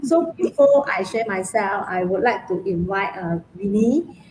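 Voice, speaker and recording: A woman's voice: mid-range voice, big pitch swings, a little slow, darkish tone, slight background noise. A woman from Malaysia, hosting a casual live chat in a friendly way and using many fillers. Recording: roomy echo.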